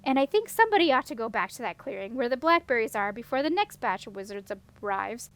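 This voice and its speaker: A woman voicing an eager, outspoken young princess in an excitable, earnest manner. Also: on the high side, fast.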